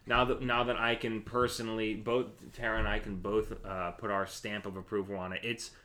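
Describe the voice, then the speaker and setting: A man's voice: fairly low pitch, level tone, brisk, sharp tone. A man hosting a podcast in casual chat, talking in an opinionated, emphatic way and swearing freely. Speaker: podcast host.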